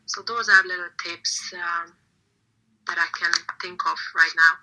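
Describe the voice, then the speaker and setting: A woman's voice: lowish voice, steady tone, unhurried, bright, forceful tone, rough recording. A woman with a Hungarian accent, talking as an interview guest in casual chat, hesitant with many fillers.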